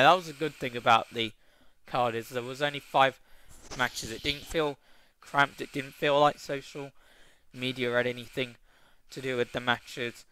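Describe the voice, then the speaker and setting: Rambling young man reviewing, bright voice. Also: mid-range voice, clean tone, low-quality recording. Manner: rambling, hesitant, many fillers and restarts. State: tickly cough. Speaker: wrestling review vlogger. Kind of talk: casual review chat.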